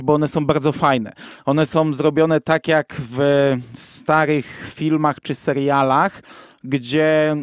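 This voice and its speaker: A man's voice: a little high, no room sound, studio quality. A man hosting a podcast, explaining things in detail in a conversational way. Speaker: podcast host. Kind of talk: conversational podcast discussion of audio drama. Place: virtual studio, remote podcast recording.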